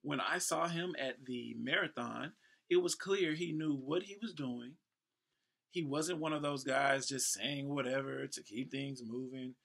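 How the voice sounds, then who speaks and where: A man's voice: medium pitch, measured pace, creaky. An American man in his thirties from Houston, a host reading aloud with playful, casual asides and ad-libs. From Houston, Texas, United States. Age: 30s. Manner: playful, breaks into ad-libs and shout-outs. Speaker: host and professional event MC.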